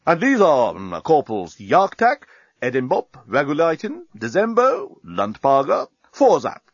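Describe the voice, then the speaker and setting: A man's voice: on the high side, huge pitch swings, a little slow, husky, no room sound, dull audio, voice pushed up, exaggerated voice. A man voicing a pompous, formal and easily defensive military captain of alien invaders in a comic audiobook. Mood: formal, eager.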